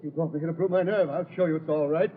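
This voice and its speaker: An actor playing a guilty, blustering swindler and nervous bridegroom in an old-time radio horror play, melodramatic and jittery. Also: male voice, on the high side, fairly even intonation, fast talker, lightly acted. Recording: dull, noisy old radio broadcast recording.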